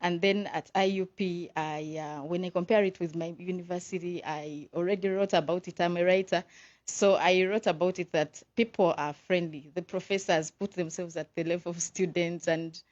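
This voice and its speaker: A woman's voice: on the low side, steady tone, normal speed, a little mellow, muffled recording. A woman from Uganda, a visiting English teacher, talking earnestly and with enthusiasm as a radio guest.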